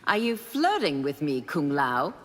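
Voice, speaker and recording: A woman's voice: on the low side, huge pitch swings, slow pace, a bit bright, in a large hall, heavily acted. An actress playing a poised, wry warrior woman in video game fight banter. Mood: teasing. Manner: wry, coolly amused.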